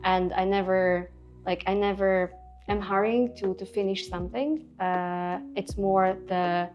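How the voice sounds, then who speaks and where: A young adult woman from Hungary, speaking English as an in a relaxed interview, soft-spoken and reflective, pausing and restarting often. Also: mid-range voice, muffled recording, soft background music. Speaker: artist guest. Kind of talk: casual interview. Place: Budapest.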